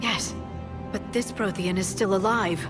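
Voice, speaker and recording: A woman's voice: mid-range voice, a little slow, lightly acted. A woman voicing an earnest, scholarly alien scientist in a video game, explaining things carefully. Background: background music.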